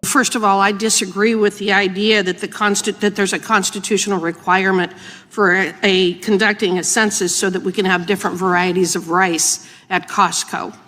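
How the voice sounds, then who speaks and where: A woman's voice: medium pitch, fairly even intonation, moderate pace, a bit bright. An American woman speaking formally as a politician questioning witnesses at a hearing. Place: large indoor hearing room. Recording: reverberant hearing room.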